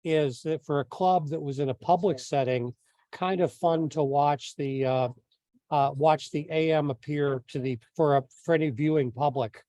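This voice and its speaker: A man taking part in a group teleconference, talking in a measured, courteous, businesslike way. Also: on the high side, fairly even intonation, dark tone, dry recording. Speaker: meeting participant. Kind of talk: discussion on an online group call. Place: remote teleconference.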